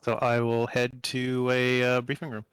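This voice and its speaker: Clear, dry-sounding man at game table. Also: mid-range voice, monotone, moderate pace, a bit bright, no room sound. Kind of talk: casual chat, in-game discussion.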